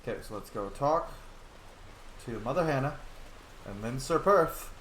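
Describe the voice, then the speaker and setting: A man's voice: mid-range voice, lively intonation, unhurried, fairly bright tone, reverberant, slight background noise, low-quality recording. A young man casually commenting on a roleplaying game, joking and reading dialogue choices aloud. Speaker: gamer playing and roleplaying a game. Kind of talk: casual gameplay commentary with in-character lines.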